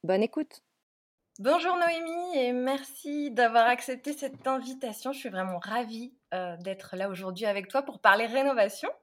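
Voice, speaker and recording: A woman's voice: fairly high pitch, normal speed, no echo. A woman hosting a home renovation podcast, reading a cheerful scripted intro and then chatting with her guest with many fillers. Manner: bright, upbeat delivery in the read intro, hesitant with fillers in conversation. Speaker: podcast host.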